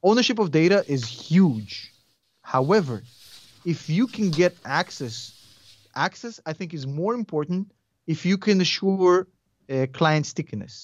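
A man's voice: high-pitched, lively intonation, moderate pace, highs cut off, slight background noise. A man in his forties from Russia with a Russian accent, a guest in a casual interview, rambling and blunt, with swearing and jokes. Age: 40s.

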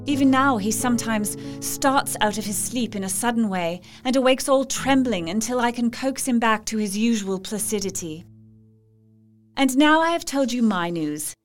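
A woman acts as a devoted young wife in a period audio drama, her voice on the high side. She reads her letters fondly and cheerfully in old-fashioned phrases, with music behind her. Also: lively intonation, normal speed, lightly acted. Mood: affectionate, chatty.

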